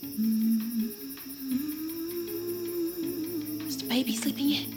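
An actress playing an alert, curious enslaved daughter, quiet and secretive, in an audio drama. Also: female voice, fairly high pitch, steady tone, moderate pace, music in the background, natural voice. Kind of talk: dramatic audio fiction acting.

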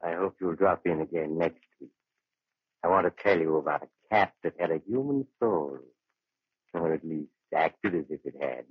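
An actor playing a genially sinister doctor who tells horror tales on old-time radio, wry and unhurried, savoring his pauses. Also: extremely low pitch, slightly rough, dry recording. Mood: sly, inviting. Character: macabre male doctor storyteller, genially sinister host. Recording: very dry, thin phone-like old radio recording.

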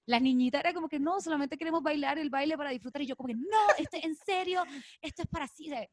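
A woman's voice: sits high, fast talker, no echo, clean studio sound. A woman from Puerto Rico with a Puerto Rican accent, a podcast guest speaking intensely and mixing in English. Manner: intense, emphatic, mixes in English. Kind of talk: casual interview chat.

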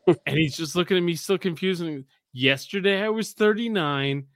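A man's voice: high-pitched, moderate pace, no room sound, studio quality. A man in his forties hosting a podcast, telling a long rambling story in casual banter and swearing freely. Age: 40s. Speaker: podcast host.

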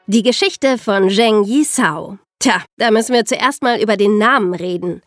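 A woman's voice: mid-range voice, normal speed, no echo, faint music behind. A woman co-hosting a podcast, telling history in an explanatory way for young listeners. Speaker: podcast co-host and narrator.

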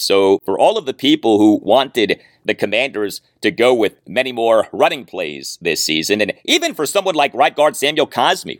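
A man hosting a sports show, delivering commentary and reading listener email with emphatic, deliberate phrasing. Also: lowish voice, a bit bright, no echo, clean studio sound. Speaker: sports podcast host.

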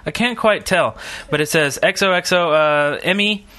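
Guy cohosting a podcast, bright voice, loose rambly chat, kinda jokey. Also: a little high, normal speed. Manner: informal, rambling, joking. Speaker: podcast co-host. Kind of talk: casual group podcast chat.

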